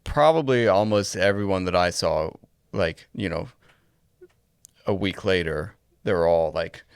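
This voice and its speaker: A man's voice: sits low, unhurried, gravelly, clean tone, no room sound, studio quality. A man speaking casually as a co-host in a conversational podcast discussion.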